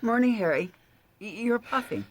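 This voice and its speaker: An actress playing a brisk, caring, nagging secretary in a radio drama, with a husky voice. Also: female voice, on the high side, animated, slight background noise, natural voice, rough recording. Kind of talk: radio drama acting, conversational.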